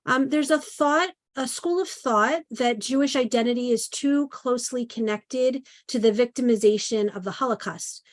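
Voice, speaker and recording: A woman with a fairly high voice moderates a conversation over a video call. She reads audience questions aloud, pausing on small fillers between them. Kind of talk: interview moderation.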